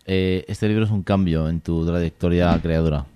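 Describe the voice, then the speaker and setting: A man interviewing an author, asking gently probing questions and giving short encouraging replies. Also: low-pitched, fairly even intonation, normal speed, clear, resonant tone. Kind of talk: interview conversation.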